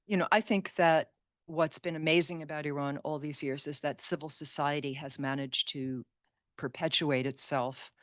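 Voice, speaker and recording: A woman speaking as a panelist in a discussion, thoughtful and animated. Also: low-pitched, normal speed, no room sound, clean studio sound.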